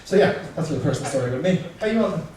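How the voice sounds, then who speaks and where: A man's voice: on the high side, expressive, rapid-fire. A young man with a Dublin accent doing a chatty, self-mocking stand-up set in a small bar, teasing the crowd. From Ireland. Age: young adult. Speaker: stand-up comedian. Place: small bar comedy room. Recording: echoey room, mic far off.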